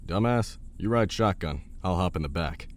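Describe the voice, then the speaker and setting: Deep-voiced cocky survivalist played by a man. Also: expressive, moderate pace, a little hiss or hum. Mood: cocky, teasing. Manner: swearing, blunt, teasing. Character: gruff cocky battle-scarred male survivalist, bossy and teasing.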